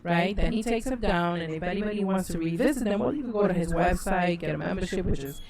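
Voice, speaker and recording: A woman's voice: on the low side, fast talker, over a noisy crowd. A woman co-hosting a casual livestream talk, rambling and gushing with many restarts. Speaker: co-host.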